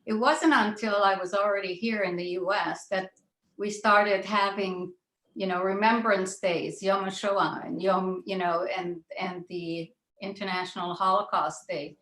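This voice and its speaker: An older woman from Israel recalling her own past as a panelist, deliberate and repeating phrases for emphasis. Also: medium pitch, steady tone, a bit bright. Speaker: panelist, child of Holocaust survivors. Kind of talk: personal recollection in a panel discussion. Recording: echoey room sound.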